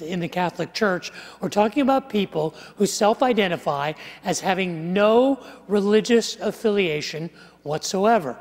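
An older man preaching a sermon, walking through statistics with animated gestures and wry asides, on a headset mic on a large church stage. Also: high-pitched. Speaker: pastor. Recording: live sermon with long hall reverb.

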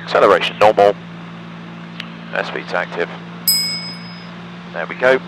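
English pilot talking over engine noise. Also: male voice, low voice, animated, unhurried. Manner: clipped, procedural phrases with upbeat asides. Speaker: pilot and vlogger. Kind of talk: in-flight commentary and radio calls. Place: small microlight cockpit in flight. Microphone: aviation headset. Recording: engine noise over aviation headset audio. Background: aircraft engine drone.

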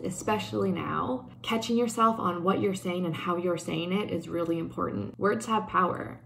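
Gravelly-voiced young Canadian woman vlogging. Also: on the low side, a little hiss or hum, low-quality recording. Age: young adult. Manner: earnest, reflective, upbeat; gesturing with her hands while talking. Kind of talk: casual talk to camera. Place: bedroom indoors.

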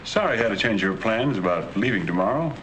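A calm, deep-voiced husband. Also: male voice, fast talker, rough recording. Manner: calm, low-key, softly spoken. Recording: hissy 1940s film soundtrack.

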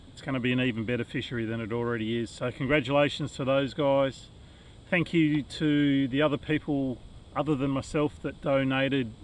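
A middle-aged Australian man narrating a casual fishing vlog from a kayak, relaxed and chatty, recorded on a head-mounted action camera outdoors. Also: medium pitch, slight background noise. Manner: relaxed, chatty, muttering to the fish while fighting it. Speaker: fishing vlogger.

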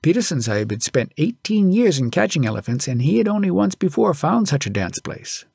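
Male storyteller, fairly high voice, gravelly creak, scripted audiobook narration. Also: sing-song, fairly quick, a little mellow, no room sound, clean studio sound.

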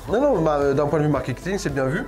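A young man with a slightly high voice co-hosts a casual product review for barbers. He banters as he explains, pausing to find his phrasing. Music plays behind him. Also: sing-song, a little mellow. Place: indoor studio set. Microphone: lapel. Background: background music.

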